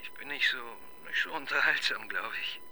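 An actor playing a shy, awkward young police assistant who talks in a hesitant, deferential, stammering way in a radio crime drama. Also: male voice, mid-range voice, a little fast, crowd noise, close to an everyday voice, rough recording.

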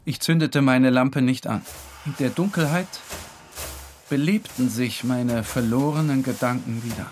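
A man giving a dramatic first-person literary narration, brooding, with phrases broken by pauses. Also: mid-range voice, a little mellow. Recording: clear ambient background noise.

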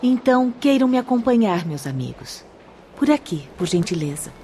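An actress playing a kind young female spiritual guide in a radio drama, explaining formally and gently. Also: medium pitch, very animated, normal speed, lightly acted. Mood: gracious, instructive.

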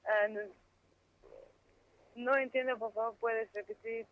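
Halting woman on a dull phone line. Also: fairly high pitch, fairly even intonation, dry recording. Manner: hesitant, halting non-native speech, slips into English. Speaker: interview guest, activist. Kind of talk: halting radio interview over the phone.

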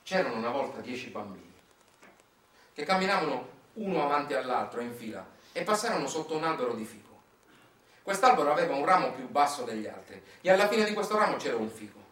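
A man's voice: mid-range voice, big pitch swings, fast, a bit bright. Echoey Sicilian man delivering a stage monologue. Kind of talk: theatrical narrative monologue. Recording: echoey room, far from mic.

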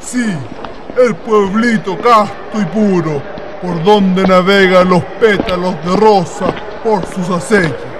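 A man playing a dreamy, enthusiastic young writer in a radio drama, with measured literary phrasing. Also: high-pitched, a crowd in the background. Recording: clear ambient noise behind.

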